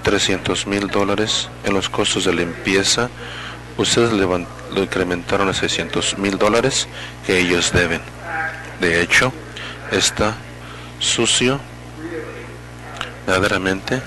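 A man interpreting live at a public meeting, speaking in halting, fragmented phrases and correcting himself as he goes. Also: low voice, poor audio. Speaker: simultaneous interpreter.

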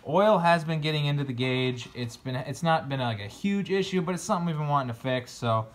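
A man's voice: a little high, a little fast. A young man talking to viewers in an easygoing way as he opens a box of tractor parts in a farm workshop, filmed on a close phone camera. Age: young adult. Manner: easygoing, talks to viewers while handling parts. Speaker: farm vlogger. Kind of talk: casual unboxing and shop talk. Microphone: phone camera held close.

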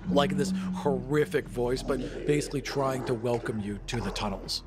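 A man's voice: medium pitch, normal speed. A man describing his character's actions as a player in a tabletop game.

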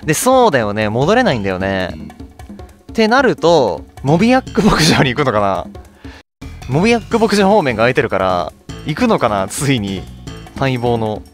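A man commentates casually on a game he is playing. His mid-pitched voice moves up and down in an animated way as he reacts in short remarks. Music plays behind him. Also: moderate pace. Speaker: let's play streamer. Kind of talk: casual game commentary, reading dialogue aloud.

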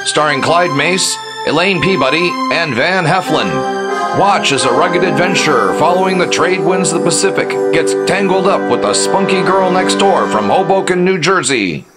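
An actor playing an excitable 1950s cinema announcer, with showy, punchy salesmanship in a retro radio advert. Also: male voice, a little high, normal speed, loud music behind the voice, lightly acted. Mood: excited.

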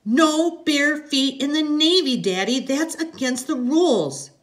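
A narrator voicing an excitable, bossy little girl who speaks loudly and insistently in an audiobook story. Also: unhurried. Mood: bossy, playful. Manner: loud, shrill, insistent.

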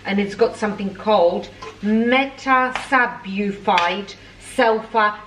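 A woman's voice: medium pitch, slow, distant mic. A middle-aged woman from Poland chatting casually, in a teasing, talkative way. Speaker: vlogger's mother. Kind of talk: casual chat. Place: home kitchen, indoors. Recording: echoey kitchen, faint background noise.

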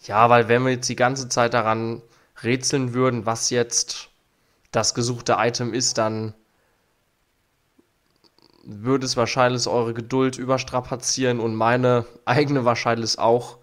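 Slow-talking young man with a cold. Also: medium pitch, little pitch movement. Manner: unhurried, reads game text aloud. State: has a cold. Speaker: let's play host. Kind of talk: casual gameplay commentary. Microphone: studio mic on arm. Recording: faint game music behind.

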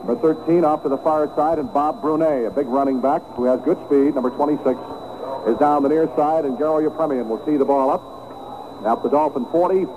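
An American man with a fairly high voice calls football play by play in an excitable, vivid style. It comes through a thin, narrow old radio broadcast, swamped in loud noise. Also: fairly quick. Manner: excitable, vivid descriptive phrasing. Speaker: play-by-play sports announcer. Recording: tinny, very noisy 1970s radio broadcast.

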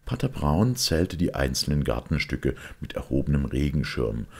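A man reading a detective story as an audiobook, narrating in a measured, deliberate literary style. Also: a bass voice, big pitch swings, slightly rough. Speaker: audiobook reader.

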